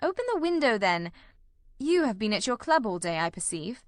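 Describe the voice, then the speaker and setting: A brisk, incisive Victorian gentleman detective, voiced by a woman reader. The delivery is precise and commanding with dry wit, at an ordinary female pitch. The sound is close, with no room around it. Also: sing-song, no echo, natural voice. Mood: dry, genial. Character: brisk, incisive, dryly witty male detective. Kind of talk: dramatised reading of a Victorian detective novel.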